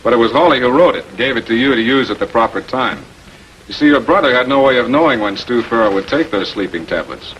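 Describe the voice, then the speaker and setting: An American actor playing a shrewd public prosecutor in 1940s television crime drama style, questioning in a deliberate, authoritative way. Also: male voice, medium pitch, expressive, a bit bright, muffled recording, close to an everyday voice. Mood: confident, accusing. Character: shrewd, composed male public prosecutor confronting murder suspects. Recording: noisy 1940s film soundtrack.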